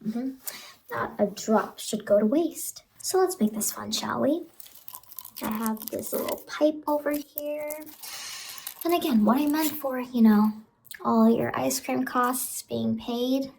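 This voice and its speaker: A high female voice with a crackly fry , a woman acting out a sinister, playful clown in horror ASMR. She murmurs softly and coaxingly, often in whispers. The recording has clear noise around it. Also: measured pace. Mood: sinister glee. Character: sinister playful immortal clown woman who harvests children's blood.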